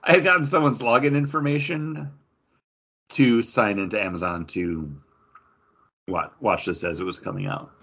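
A man's voice: medium pitch, moderate pace, long pauses, a bit bright, slightly rough, lo-fi sound. A man co-hosting a podcast in casual pop culture chat, rambling along and often quoting his own thoughts with plenty of filler "like". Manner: rambling, self-quoting, peppers talk with "like". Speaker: podcast co-host.

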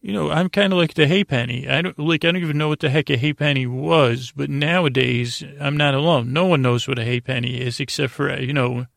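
Very gravelly man rambling at bedtime. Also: mid-range voice, no echo, studio quality. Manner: halting, repeats words, drifts off on tangents; goofy, gently silly. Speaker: host of a bedtime sleep podcast. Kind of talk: rambling, meandering bedtime monologue.

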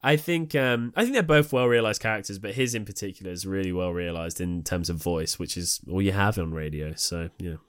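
A man's voice: low voice, a little fast, hardly any pauses, fairly bright tone, smooth, no room sound, studio quality. A man co-hosting a casual podcast, thinking aloud enthusiastically as he talks. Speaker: podcast co-host. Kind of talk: casual chat.

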